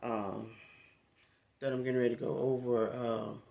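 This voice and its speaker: A man with a raspy, gravelly voice talks casually into his phone as a content creator. He rambles in a relaxed way, full of fillers, at an everyday middle pitch. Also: normal speed. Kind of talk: casual talk to camera.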